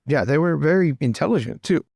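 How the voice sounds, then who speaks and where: Man playing an excitable, swooping young lord. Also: fairly high pitch, fairly quick, gravelly, no room sound, studio quality. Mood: excited storytelling. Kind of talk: improvised in-character roleplay.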